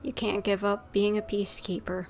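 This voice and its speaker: A woman's voice: medium pitch, level tone, normal speed, a little hiss or hum. A woman casually reading game dialogue and commenting during a livestream.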